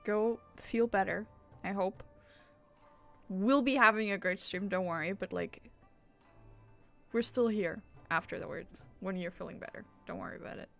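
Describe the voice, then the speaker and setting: A woman's voice: mid-range voice, moderate pace, vocal fry, pauses often, faint music behind. A woman chatting casually on a gaming livestream, rambling, interrupting herself and swearing now and then. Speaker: game streamer. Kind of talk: casual livestream gameplay chat.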